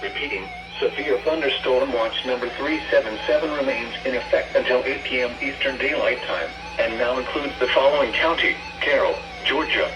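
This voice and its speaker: A man's voice: medium pitch, moderate pace, highs cut off. An American man's synthetic voice reading severe weather bulletins in a formal, stilted way as a radio announcer. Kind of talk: scripted emergency weather alert bulletin. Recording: weather radio speakers, loud sound behind.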